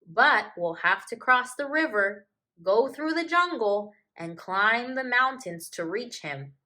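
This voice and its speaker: A boisterous, good-humoured hippopotamus from a children's storybook, acted out by a woman reader. The voice is bright and loud, lifting high on exclamations. It sounds big-hearted, joking and emphatic. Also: expressive, slow pace, heavily acted. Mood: helpful.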